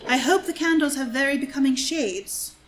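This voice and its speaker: A woman's voice: sits high, normal speed, slight background noise, close to an everyday voice. A woman playing an elegant, haughty and scheming society villainess in an audio drama reading, arch and disdainful.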